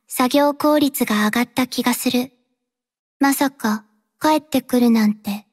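A reserved young mercenary girl from an anime-style game, with a high, light voice. She speaks slowly in short, halting phrases. Her delivery is quiet, flat and earnest, dutiful to the end. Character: reserved, dutiful young female mercenary robot-arm mechanic. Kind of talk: Japanese anime-style mobile game voice lines.

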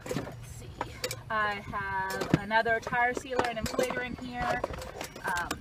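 A woman's voice: fairly high pitch, fairly even intonation, unhurried, very noisy. A young woman vlogging outdoors beside her car's open trunk, chatting casually with many ums and restarts, recorded on a camera close in front. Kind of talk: casual show-and-tell vlog. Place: outdoors by an open car trunk on a sunny street.

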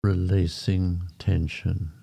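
Slow, deep, very mellow male meditation guide. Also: gravelly, husky. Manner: calm, unhurried, with short phrases and long pauses. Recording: muffled, dull lo-fi sound. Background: background music.

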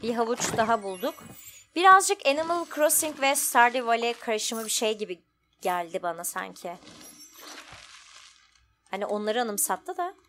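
High-voiced woman gaming casually. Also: moderate pace, pauses often, sharp tone, a little hiss or hum. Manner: informal, thinking aloud. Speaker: gaming streamer. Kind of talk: casual let's play commentary, reading dialogue aloud in translation.

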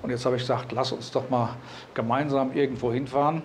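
A man's voice: mid-range voice, fairly even intonation, brisk, slight background noise. A man, presenting and narrating a vlog. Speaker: vlogger.

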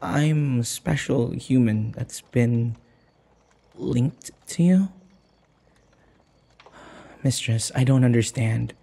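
A man playing a timid, submissive human in an intimate audio roleplay, halting and stammering, with a breathy, husky voice. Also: medium pitch, mellow. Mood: bewildered, meek.

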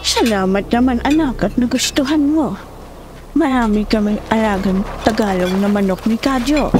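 A woman's voice: a little high, normal speed, background noise, close to an everyday voice. A woman playing a caring, motherly old fisherman's wife in a horror audio drama. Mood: warm, caring. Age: older. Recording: music bed behind voice.

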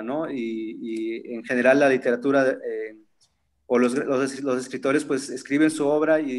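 A man in his thirties, a native Zapotec speaker, talking thoughtfully in a seminar over a laptop video call, with many fillers and restarts. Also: medium pitch. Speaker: seminar participant. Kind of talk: reflective conversational talk. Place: room at home with framed pictures. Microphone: laptop webcam on a video call.

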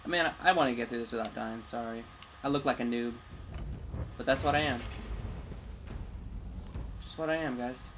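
A man's voice: mid-range voice, moderate pace, long pauses, a little hiss or hum. A man giving casual game commentary, muttering to himself in a self-deprecating way as he plays. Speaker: gamer, video host. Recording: loud game sound behind voice. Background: video game combat and ambience.

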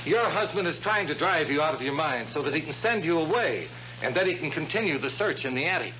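An actor playing an earnest, bumbling Scotland Yard inspector in a stagey, emphatic 1950s television sketch. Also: male voice, on the high side, big pitch swings, fast, sharp tone. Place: television studio stage set. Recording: very noisy old TV soundtrack.

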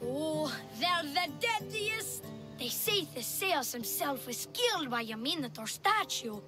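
A woman's voice: high-pitched, big pitch swings, measured pace. A woman voicing a young boy who is a confident, salesy tour guide and huckster, in a video game. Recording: game music underneath.

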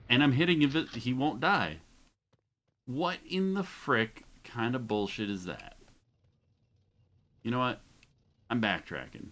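A man's voice: medium pitch, animated, unhurried, long pauses, fairly bright tone, a little hiss or hum. A man in his thirties streaming live gameplay commentary, swearing and venting frustration at the game. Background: game music.

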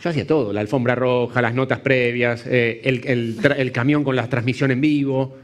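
A man in his forties with an Argentine accent, a TV producer speaking as a panel guest in a studio and telling a story with lively gestures. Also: mid-range voice, moderate pace. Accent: Argentine Spanish. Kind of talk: panel discussion. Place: TV studio set.

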